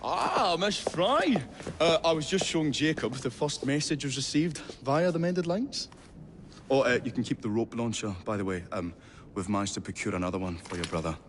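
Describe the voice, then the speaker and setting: A man's voice: medium pitch, animated, normal speed, big-room sound, noisy background, close to an everyday voice. A man voicing a flustered, fussy, polite Victorian inventor who stammers in a game cutscene. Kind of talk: video game cutscene acting.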